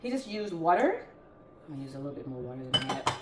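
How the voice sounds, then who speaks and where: A woman's voice: rumbling low, very animated, fast talker. A chatty woman with a Southern US accent, talking casually as she hosts a home cooking demonstration. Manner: chatty, informal. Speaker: home cooking vlog host. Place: indoors in a home kitchen. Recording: roomy kitchen echo, clattering utensil noise.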